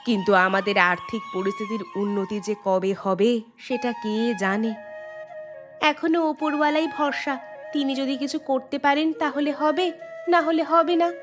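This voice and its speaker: A village housewife and mother from a cartoon, acted by a woman. Her voice is fairly high, brisk and expressive, with a practical, nagging edge. Music sits underneath. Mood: chatty. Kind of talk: cartoon dialogue acting.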